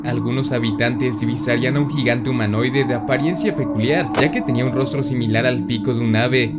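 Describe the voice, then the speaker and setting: A man reading scripted narration in the style of a horror documentary. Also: medium pitch.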